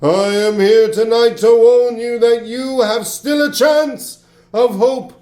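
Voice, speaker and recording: An actor playing a mournful, foreboding dead businessman's ghost in a radio play, with drawn-out, wailing delivery. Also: extremely high pitch, dark tone. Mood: solemn warning. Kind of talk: stage-style radio play acting. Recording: echoing voice over faint music.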